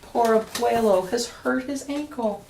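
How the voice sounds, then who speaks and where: A woman reading a rhyming picture book aloud for children, expressively, with dramatic emphasis and deliberate pauses. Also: a little high, vocal fry, a little mellow, a little hiss or hum. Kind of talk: expressive storytime read-aloud of rhyming verse. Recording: roomy echo, distant mic.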